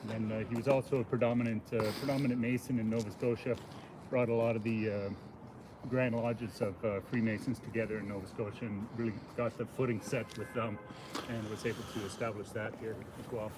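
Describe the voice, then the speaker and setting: A man hosting a casual unscripted walking livestream outdoors, explaining things in a halting, informal way. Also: on the low side, flat delivery. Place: outdoors on a city waterfront. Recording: noisy outdoor city audio with traffic.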